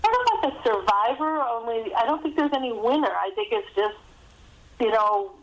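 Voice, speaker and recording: A woman's voice: a little high, very animated, normal speed, highs cut off. Chatty woman author in casual interview. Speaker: guest author.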